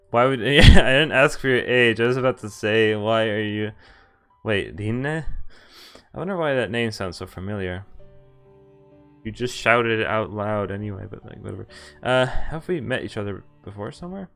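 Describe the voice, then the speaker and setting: A man's voice: on the low side, animated, moderate pace, creaky, fairly bright tone, clean tone, soft background music. Man reading a visual novel aloud. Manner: reads the story aloud, then breaks off with laughing asides. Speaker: streamer playing a visual novel. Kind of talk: game playthrough, reading lines aloud with commentary.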